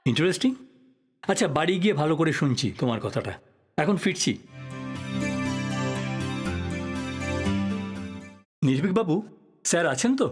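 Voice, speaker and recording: An actor playing a polite, inquisitive newspaper reporter in an audio drama, with a husky voice. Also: male voice, fairly high pitch, expressive, quick pace, soft background music, close to an everyday voice. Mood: friendly, coaxing. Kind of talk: Bengali audio drama acting.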